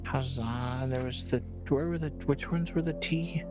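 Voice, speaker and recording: A man's voice: mid-range voice, a little slow. A man chatting casually as a guest on a game stream, a bit hesitant, with trailing sentences and small interjections. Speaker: guest streamer helping along. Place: remote voice call. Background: game music.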